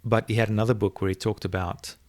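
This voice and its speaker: A middle-aged man whose first language is Afrikaans, reflectively telling a personal story as an interview guest and often pausing to search for words. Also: fairly low pitch, fairly even intonation, a little mellow, dry recording. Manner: thoughtful, searching for words, many restarts.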